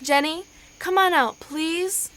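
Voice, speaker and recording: A woman's voice: very high voice, slow pace, pushed and bright, exaggerated voice. A young female performer playing a protective, scolding girl in an amateur radio drama. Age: child.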